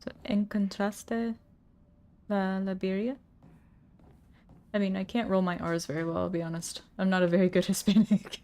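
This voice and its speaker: A woman's voice: mid-range voice, flat delivery, normal speed, pauses often, dark tone. A woman giving casual, chatty commentary while playing a horror game, reading notes aloud under her breath and startling easily. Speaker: let's play gamer.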